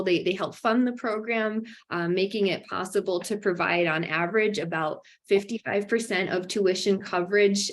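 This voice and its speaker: A woman hosting an online information session, talking in an upbeat way with many fillers and bright emphatic rises. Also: mid-range voice. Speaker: webinar host for a graduate program.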